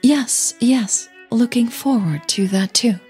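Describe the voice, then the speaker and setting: A woman voicing an uneasy, polite young woman singer in an audio drama, with soft, breathy delivery. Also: a little high, takes it slowly, faint music behind, pitched above the performer's own voice. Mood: uneasy, apologetic.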